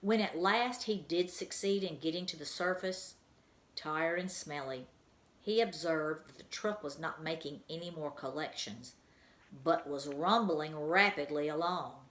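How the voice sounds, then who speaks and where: A teacher reads a children's book aloud in a low voice. She tells the story in an expressive way. Her voice has a roomy echo around it. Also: a little slow.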